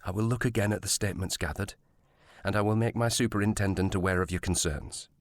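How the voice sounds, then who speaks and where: A man's voice: lowish voice, steady tone, quick pace, dry recording, clean studio sound, natural voice. A man playing a courteous, cautious provincial police sergeant with formal speech in a period audio drama. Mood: resigned, courteous.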